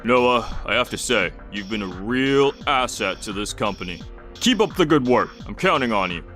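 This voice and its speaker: A man voicing a measured, businesslike company boss in a dubbed comic drama. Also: medium pitch, lively intonation, a bit bright, soft background music, close to an everyday voice. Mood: approving. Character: measured, businesslike male company boss, middle-aged or older.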